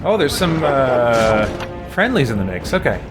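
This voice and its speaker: A man's voice: mid-range voice, sing-song, measured pace. A man giving casual live gameplay commentary as a streamer, now and then reading chat aloud. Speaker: video game streamer. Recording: loud game music behind voice. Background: loud game music and effects.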